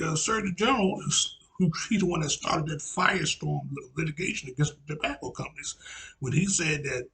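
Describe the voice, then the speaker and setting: A raspy man in his forties delivers a meandering religious lecture with a gravelly, creaky edge and a sharp, bright tone. He repeats his points and leans heavily on fillers. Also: a little high. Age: 40s. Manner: repetitive, frequent "you know" fillers, lecturing. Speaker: religious teacher. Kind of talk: rambling religious monologue.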